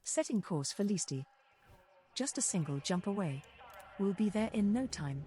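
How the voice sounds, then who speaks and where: Mellow, composed female ship computer played by a woman. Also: mid-range voice, lively intonation, normal speed, natural voice. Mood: calm. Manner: composed, formal announcing. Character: calm female spaceship computer assistant. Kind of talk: scripted game voice prompts.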